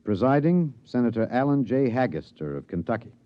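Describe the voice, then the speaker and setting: An actor playing an earnest, somber news reporter, narrating solemnly in a 1950s radio drama. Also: male voice, medium pitch, big pitch swings, fairly quick, lightly acted. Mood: grave. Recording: dull 1950s radio recording.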